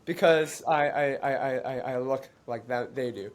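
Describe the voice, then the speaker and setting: A man born in China and raised in Canada, speaking as an interview guest in a reflective, halting way with many restarts and frequent laughs. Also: mid-range voice.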